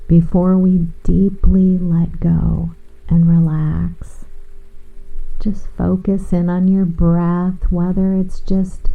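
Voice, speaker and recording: Very slow, low-voiced woman guiding sleep hypnosis. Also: level tone, gravelly. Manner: soothing, hushed, drawn-out phrases with long pauses. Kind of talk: guided sleep meditation, hypnosis narration. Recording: muffled, band-limited, with music and rain. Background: soft ambient music and gentle rain.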